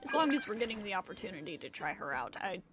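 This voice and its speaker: A woman's voice: medium pitch, big pitch swings, fast talker. A woman game streamer giving casual live commentary, rambling and thinking aloud as she plays. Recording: game music behind the voice.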